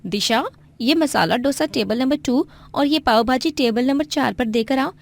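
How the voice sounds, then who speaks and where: A woman's voice: sits high, normal speed, creaky. A woman playing a busy, helpful young sister at a food stall in a Hindi story. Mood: busy, brisk. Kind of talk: Hindi moral story voice acting.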